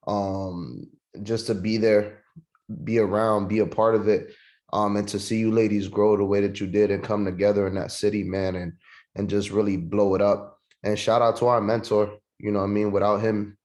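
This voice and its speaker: A man's voice: fairly low pitch, a little mellow. A man from Puerto Rico, giving a casual, slangy sales training over a video call. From Puerto Rico, raised in New York City. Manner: casual and slangy, calls listeners "man" and "bro". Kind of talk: online sales training talk.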